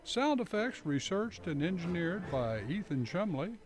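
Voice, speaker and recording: A man's voice: high-pitched, sing-song, measured pace. A man reading scripted credits as a radio announcer. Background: music.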